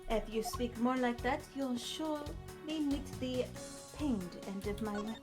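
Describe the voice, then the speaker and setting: Woman acting haughty young prosecutor, formal, menacing, video game dub, higher register, music behind. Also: moderate pace, natural voice. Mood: threatening. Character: haughty, threatening young female prosecutor with a whip.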